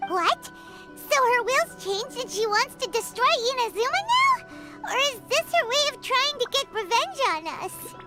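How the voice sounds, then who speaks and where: A woman voicing a tiny, excitable, childlike fairy companion in a video game, squeaky and exclamatory. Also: a little slow, exaggerated voice. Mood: alarmed. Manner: exclamatory, dramatic. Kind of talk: English video game voice acting. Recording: loud game music underneath.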